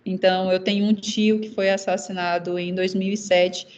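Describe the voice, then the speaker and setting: An earnest young woman from Maranhão in Brazil, whose first language is Zé'eng eté, speaks on a panel at a steady middle pitch with very little melodic movement. She talks haltingly and often repeats fillers while she searches for words. The sound is dull and lo-fi, like a video call. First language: Zé'eng eté (Guajajara language). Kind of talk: personal testimony in a panel talk.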